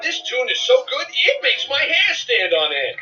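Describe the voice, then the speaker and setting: Dim good-natured cartoon duck pal, very high, forceful bright tone, animated, lo-fi audio. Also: male voice, normal speed, heavily acted. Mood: cheerful. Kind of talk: children's read-along cartoon acting. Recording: dull, distant lo-fi audio, faint music.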